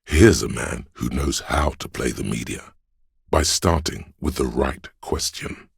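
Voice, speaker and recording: An extremely low voice plays a coaxing inner voice for a video game. It is heavily husky and breathy, measured and knowing, as if advising a troubled cop from inside his head. The recording is close and dry. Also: no room sound, heavily acted. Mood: sly advising. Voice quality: very deep, husky. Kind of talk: scripted second-person game narration.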